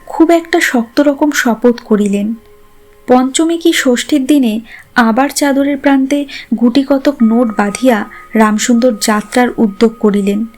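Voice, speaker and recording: A woman's voice: a little high, measured pace, darkish tone, soft background music. A woman narrating a literary story a script.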